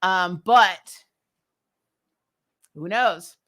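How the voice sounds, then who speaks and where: Woman doing a casual recap vlog, really bright crisp edge to her voice, sarcastic n slangy, kinda fed up. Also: fairly low pitch, very slow. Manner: sarcastic, exasperated, slangy with swearing; acts out characters' lines mockingly. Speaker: TV recap reviewer and vlogger. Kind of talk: casual opinionated commentary.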